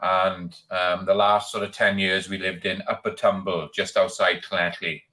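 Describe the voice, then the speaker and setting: A man's voice: low voice, little pitch movement, moderate pace, sharp tone. A man from Wales with a Welsh accent, chatting casually as a co-host. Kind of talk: casual chat.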